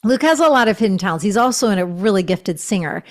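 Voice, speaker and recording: A woman's voice: mid-range voice, expressive, fast talker. A middle-aged woman, a shaman and author, chatting as a podcast guest, full of gushing spiritual phrasing and fillers. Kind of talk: casual interview chat.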